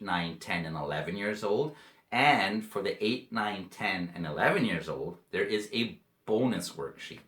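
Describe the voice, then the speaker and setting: A man from Canada, giving a video lesson to young pupils in slow, simple, friendly speech. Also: lowish voice, fairly bright tone. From Canada, British Columbia. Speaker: English teacher for children. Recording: roomy echo.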